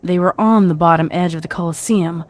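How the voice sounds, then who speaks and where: A woman reading scripted story narration in a measured, dramatic way. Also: on the low side, darkish tone.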